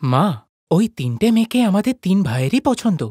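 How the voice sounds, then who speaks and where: A woman's voice: on the low side, big pitch swings, brisk, warm tone, slightly rough, dry recording, studio quality, pitched below the performer's own voice. A woman voicing a questioning, challenging grown-up son in a cartoon family story.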